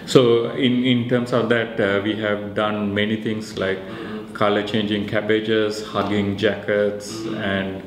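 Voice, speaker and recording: A man in his thirties with a Sri Lankan accent, explaining his research project in an informal interview, pausing often mid-sentence. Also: fairly low pitch, level tone, crowd noise. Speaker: researcher and maker presenting a project. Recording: echoey room with faint background noise.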